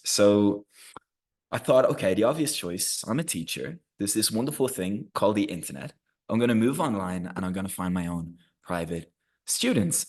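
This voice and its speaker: An Irish man in his thirties giving an energetic, encouraging webinar presentation over a video call. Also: sits low. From Ireland. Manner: energetic, encouraging, with frequent restarts.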